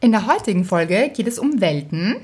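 A woman's voice: mid-range voice, expressive, normal speed, a little mellow, husky. Female podcast co-host, mid-range voice, informal chat and listener-mail reading.

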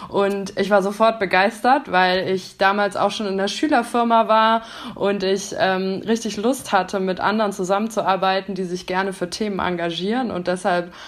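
A woman in her thirties speaks as a podcast guest in a medium-pitched voice. She sounds eager and fluent, with many small fillers between her phrases. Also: fairly even intonation, normal speed. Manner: enthusiastic, fluent, frequent fillers. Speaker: guest, business psychologist and coach. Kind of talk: casual podcast interview.

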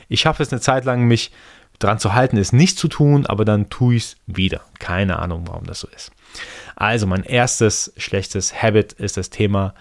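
A man from Iran whose first language is Persian, hosting a casual solo podcast and thinking aloud in a relaxed way. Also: on the low side, gravelly. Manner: relaxed, thinking aloud with restarts and soft laughs. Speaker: podcast host.

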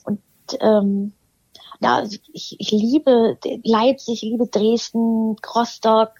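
A woman from eastern Germany, a singer as interview guest, talking thoughtfully with many pauses and restarts. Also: fairly high pitch, level tone, a little mellow, husky, dry recording, lo-fi sound. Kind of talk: casual interview chat.

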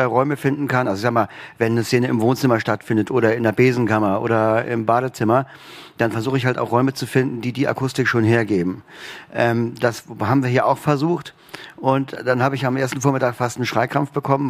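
A man's voice: medium pitch, no echo. A man leading a radio drama workshop, talking on a festival panel, hesitant with many fillers. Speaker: workshop leader, sound engineer. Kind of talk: panel discussion.

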